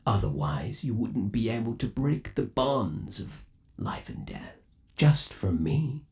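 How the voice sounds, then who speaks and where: A man's voice: fairly low pitch, big pitch swings, slow pace, gravelly, dark tone, full character voice. A man playing an undead animatronic boyfriend in an ASMR roleplay, coaxing and theatrical, lingering on endearments with eerie, possessive devotion. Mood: soothing, obsessive. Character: undead animatronic boyfriend, obsessively devoted, eerily tender yandere.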